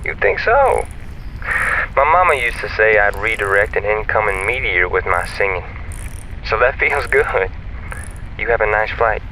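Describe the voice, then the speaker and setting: A man's voice: fairly low pitch, lively intonation, normal speed, pauses often, pushed and bright, slight background noise, poor audio. An actor playing a folksy, flirtatious spaceman on a radio channel in a sci-fi audio drama, easygoing and teasing. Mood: sheepish, playful.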